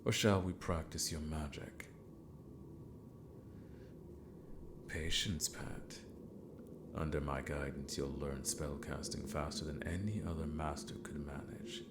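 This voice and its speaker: A man playing an eccentric, possessive sorcerer mentor in a fantasy ASMR roleplay, half-whispering in a gravelly voice with formal, condescending deliberation. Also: very deep voice, fairly even intonation, unhurried, background noise. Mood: insistent, patient.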